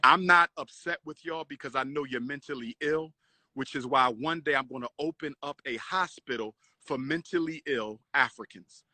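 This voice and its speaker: A man's voice: mid-range voice, moderate pace, pushed and bright, no room sound. A man speaking in a preachy, mocking way, repeating himself like a sermon.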